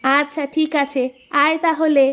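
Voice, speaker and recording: A woman's voice: sits high, level tone, measured pace. A woman voicing a kindly, teasing middle-aged village aunt in a cartoon. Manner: warm, teasing, motherly. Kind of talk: cartoon voice acting.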